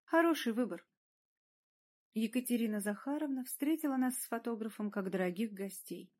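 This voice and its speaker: High-voiced woman narrating an audiobook. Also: brisk. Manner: expressive storytelling. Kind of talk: scripted first-person fiction narration.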